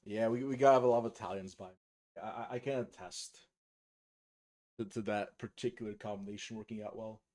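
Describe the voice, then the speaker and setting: A man's voice: lowish voice, brisk. A man with a slight Polish accent, chatting casually and rambling while painting miniatures on a live stream. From United States, raised speaking Polish. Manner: relaxed, rambling, many fillers and restarts.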